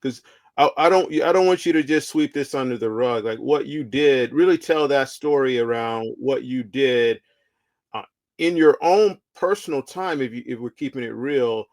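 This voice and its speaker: A man's voice: medium pitch, moderate pace, darkish tone. Probing, informal male interviewer. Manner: probing, informal, restarting questions. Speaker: interviewer, host. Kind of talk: casual conversational interview.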